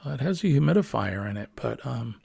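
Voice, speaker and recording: A man hosting a casual morning livestream, reading viewer comments in a relaxed, rambling way with lots of ums, his voice husky and a little smoky, recorded on a built-in computer mic. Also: medium pitch, brisk, dry recording, studio quality. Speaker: livestream host. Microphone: built-in computer webcam mic.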